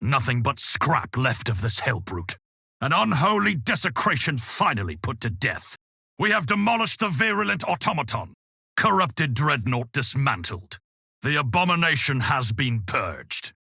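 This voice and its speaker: A man's voice: medium pitch, sing-song, unhurried, vocal fry, bright, forceful tone, husky, dry recording, studio quality, exaggerated voice. A man voicing a severe, devout space marine in a video game, declaiming in formal phrases. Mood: austere triumph. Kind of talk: video game battle barks.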